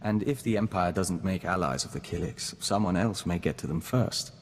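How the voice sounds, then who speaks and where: A man playing a calm, formal diplomat joined to a hive mind, speaking in a measured, earnest way in a video game. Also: low voice. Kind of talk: scripted video game companion dialogue. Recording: long reverb, audible background noise.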